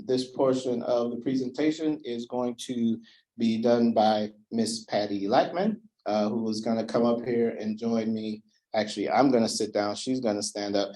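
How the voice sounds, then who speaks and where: A man hosts a community meeting, laying out ground rules in a loose, relaxed way with plenty of ums and uhs. His voice sits at a middle pitch. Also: rough recording. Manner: relaxed, filler-heavy explaining. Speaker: event host. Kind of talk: community presentation.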